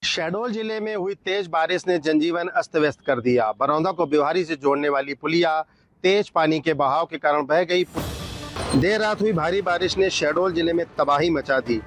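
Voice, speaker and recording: A man's voice: fairly high pitch, normal speed, fairly bright tone, dry recording, faint music behind. A man narrating a scripted news report as a field reporter.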